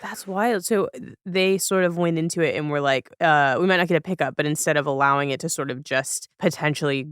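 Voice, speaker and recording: Male interviewer, fairly high clear voice, attentive casual podcast delivery. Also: fairly quick, hardly any pauses, fairly bright tone, no room sound, clean studio sound. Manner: attentive, restates to clarify. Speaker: podcast host.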